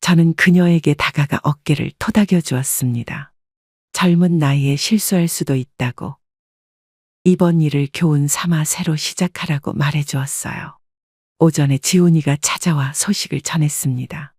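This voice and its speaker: A woman reading a scripted first-person story as an audiobook narrator, in a measured, polite storytelling manner. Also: low voice, a little mellow, slightly rough, no echo, clean studio sound.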